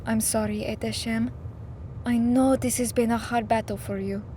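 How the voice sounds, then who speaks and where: An actress playing a patient but firm middle-aged wife and mother in an audio drama, speaking in measured, formal phrases. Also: a little high, fairly even intonation, mellow, a little hiss or hum, close to an everyday voice. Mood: concerned, pleading. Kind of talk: scripted audio drama acting.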